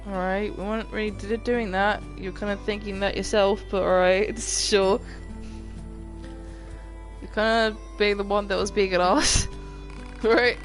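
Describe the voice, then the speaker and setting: A game streamer chatting casually while playing, with a mumbling, mostly monotone delivery. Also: mid-range voice, a little slow. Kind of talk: casual chat while gaming. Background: game music.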